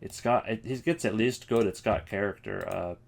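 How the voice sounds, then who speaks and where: Low-voiced man giving casual game commentary. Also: normal speed, gravelly, slight background noise. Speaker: host of a game ranking video.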